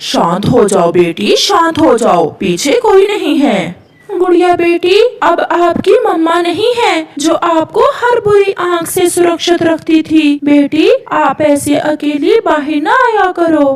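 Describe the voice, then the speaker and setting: A woman voicing a kindly, protective older uncle bird in a children's cartoon, calming and giving advice. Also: very high-pitched, slow, far from the mic, full character voice. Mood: soothing, concerned. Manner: calming, advising.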